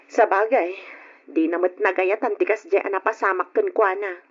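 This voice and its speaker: A woman's voice: medium pitch, fairly even intonation, normal speed, breathy edge, close to an everyday voice. A woman playing a helpful, inquisitive female friend in an old radio drama, talking in a conversational, advising way. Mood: concerned. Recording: dull, lo-fi old radio recording.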